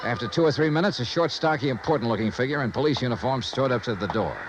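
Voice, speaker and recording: An actor playing a dogged, wry insurance investigator in 1950s radio noir style, with clipped delivery. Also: male voice, mid-range voice, lively intonation, fast talker, muffled recording, lightly acted. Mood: dry narration. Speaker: lead actor and narrator. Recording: vintage radio drama with music.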